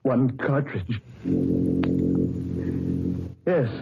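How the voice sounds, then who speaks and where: A man's voice: medium pitch, lively intonation, extremely slow. A man playing a guilt-haunted murderer in an old radio drama, muttering in fear to himself. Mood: despairing. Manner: tormented, fearful muttering. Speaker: Keith Grange, millionaire. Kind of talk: 1940s radio acting. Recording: lo-fi old radio recording with loud music.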